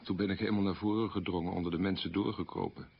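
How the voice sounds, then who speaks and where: Middle-aged man, deep voice, raspy, candid troubled storyteller, radio drama monologue. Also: fairly even intonation, fast talker, heavily acted. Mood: reminiscing. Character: troubled man candidly recalling his wartime boyhood. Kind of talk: radio play monologue in interview manner. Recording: muffled old radio recording.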